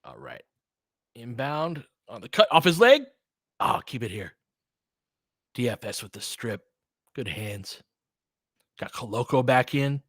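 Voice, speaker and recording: A man's voice: medium pitch, very animated, unhurried, long pauses, fairly bright tone, no echo, clean studio sound. A man giving live basketball play-by-play with informal, slangy fan reactions mixed into casual chat. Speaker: host of a fan play-by-play stream.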